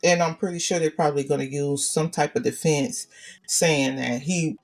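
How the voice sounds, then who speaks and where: A woman with a deep voice, co-hosting a casual podcast chat and pressing her points with repetition. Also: moderate pace, recorded from a distance, soft background music. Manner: opinionated, repeats points. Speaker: podcast co-host.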